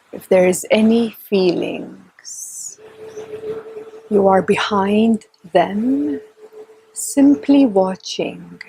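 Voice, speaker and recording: A woman leading a guided meditation in a calm, gentle, soft and breathy voice, with long unhurried pauses between short phrases. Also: mid-range voice, a little hiss or hum, poor audio. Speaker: meditation teacher. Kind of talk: guided meditation, slow and instructional.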